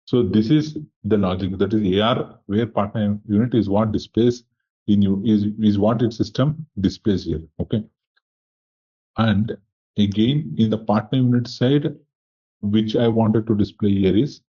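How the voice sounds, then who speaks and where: A man working as a software trainer, walking through a live demo step by step, thinking aloud and asking himself questions. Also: on the low side, measured pace, husky, muffled recording.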